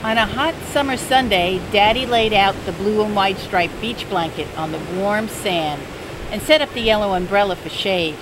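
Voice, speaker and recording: Older lady reading a kids picture book, slow and gentle, middle-pitched voice, constant outdoor noise behind her. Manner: gentle, unhurried bedtime-story delivery. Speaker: storybook reader. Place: outdoors by the sea.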